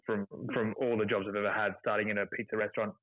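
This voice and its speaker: An Australian man who is a guest on a podcast, talking in a relaxed, chatty way. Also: fairly low pitch, fast talker, no echo. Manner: relaxed, chatty, laughs easily. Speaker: guest, television cooking contestant. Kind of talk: casual conversation. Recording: phone-like remote line.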